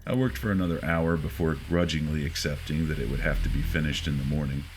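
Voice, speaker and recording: Male horror narrator, extremely low voice, scripted first-person storytelling, slight background noise. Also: quick pace, low-quality recording.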